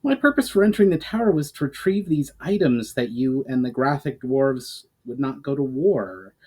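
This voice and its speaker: A man's voice: medium pitch, lively intonation, moderate pace, mellow, husky, pitched above the performer's own voice. A man voicing an earnest male elf adventurer who speaks with formal courtesy in a fantasy storybook. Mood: heated.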